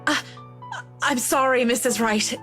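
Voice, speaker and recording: A woman's voice: on the high side, unhurried, bright, forceful tone, faint music behind. An actress playing a shaken, guilt-ridden, apologetic young woman in a horror game, hesitant in manner. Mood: shaken, polite.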